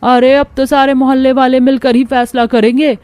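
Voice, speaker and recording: A woman voicing a spiteful neighbour in a cartoon story, scolding and taunting loudly. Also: high voice, flat delivery, normal speed, clean tone, dry recording, clean studio sound, pitched above the performer's own voice, natural voice. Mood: defiant. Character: loud spiteful neighbour woman shaming a thief.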